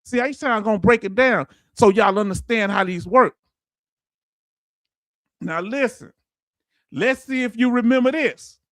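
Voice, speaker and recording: A man in his late fifties whose voice sits high for a man, heard dry and close with no room sound. He teaches an online audience in relaxed, folksy talk. He keeps asking viewers the same questions until they answer. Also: measured pace, pauses often, no room sound. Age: 50s. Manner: quizzes viewers with repeated questions. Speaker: veteran benefits streamer. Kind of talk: livestream lesson answering chat.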